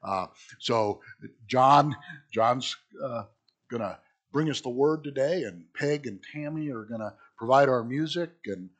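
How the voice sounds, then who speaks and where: A middle-aged male pastor teaching Sunday school with a hoarse, husky voice after being sick, talking casually and joking with asides. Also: mid-range voice, lively intonation, unhurried. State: hoarse from illness. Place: small church.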